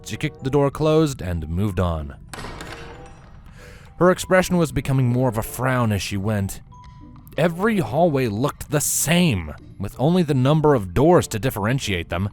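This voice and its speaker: A man narrating a scripted story in a dramatic, expressive storytelling manner. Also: medium pitch, normal speed, faint music behind.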